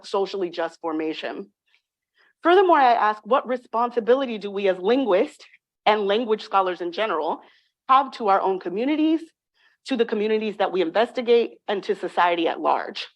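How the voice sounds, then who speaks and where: A woman lecturing on linguistics in a measured, articulate way, reading prepared remarks with emphatic phrasing. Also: medium pitch.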